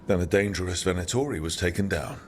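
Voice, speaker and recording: An actor playing a steady, encouraging hero with dry wit in a fantasy video game, earnest and gently teasing. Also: male voice, deep voice, fast, slight background noise, close to an everyday voice. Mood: earnest, supportive. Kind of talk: dramatic video game dialogue.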